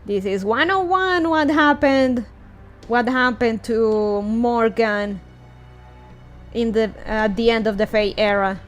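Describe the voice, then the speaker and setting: A woman's voice: on the high side, takes it slowly, faint music behind. A woman doing a casual readalong stream of a game story, musing and humming as she comments. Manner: musing, hums and interjects reactions. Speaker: streamer reading a video game story aloud.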